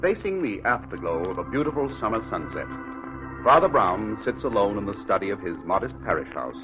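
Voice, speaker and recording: A man's voice: on the low side, sing-song, a little fast. A man narrating a radio drama in a formal, theatrical announcer style. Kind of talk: old-time radio drama scripted narration. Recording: scratchy narrow-band vintage radio recording. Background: organ music.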